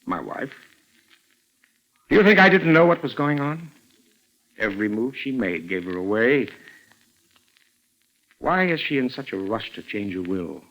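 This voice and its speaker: A man playing a suspicious middle-aged stepfather in a 1940s radio drama, falsely genial and quietly menacing, probing with deliberate pauses. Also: mid-range voice, big pitch swings, low-quality recording. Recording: vintage 1940s radio recording.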